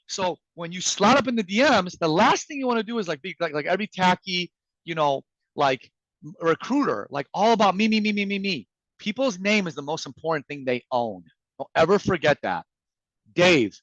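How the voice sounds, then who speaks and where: A man whose first language is Farsi pitches sales tips with punchy emphasis, playing out sample messages as he goes. His voice sits fairly high for a man. The sound is dry and a little muffled, as over a video call. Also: dry recording. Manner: energetic, emphatic, acts out quoted messages. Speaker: guest speaker. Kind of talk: motivational sales presentation.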